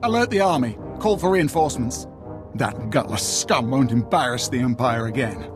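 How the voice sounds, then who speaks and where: A man acts out a sci-fi game's military leader. His medium-pitched voice is stern and scornful, handing out orders over faint music. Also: animated, moderate pace, close to an everyday voice. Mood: scornful anger. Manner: commanding, contemptuous. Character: stern, scornful male Imperial commander. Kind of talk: video game cutscene acting.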